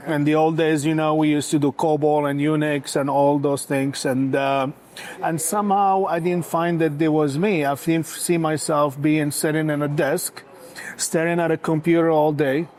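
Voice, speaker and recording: Man, restaurant founder guest, fairly high voice, halting with lots of "uh"s. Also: little pitch movement, darkish tone. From Morocco. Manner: hesitant, many filler sounds. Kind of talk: casual interview.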